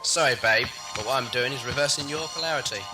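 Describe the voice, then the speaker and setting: A man playing a flippant, decisive time-travelling doctor in an audio drama, with a brisk theatrical delivery. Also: medium pitch, close to an everyday voice, low-quality recording. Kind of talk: fan audio drama acting. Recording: music over old cassette recording.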